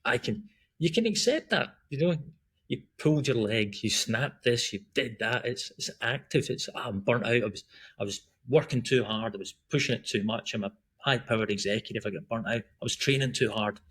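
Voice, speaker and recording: Middle-aged Scottish man, husky, rambling podcast guest, mid-pitched voice. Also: fairly quick, fairly bright tone. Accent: Scottish, Glasgow. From Scotland. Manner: rambling, chatty, frequent restarts and ums. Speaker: guest, breath work and running coach. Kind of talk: casual podcast conversation.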